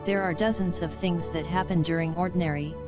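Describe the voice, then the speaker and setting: A woman's voice: lowish voice, steady tone, fairly quick. A woman reading a scripted daily devotional and prayer. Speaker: devotional reader. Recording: dull lo-fi audio under music. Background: guitar music.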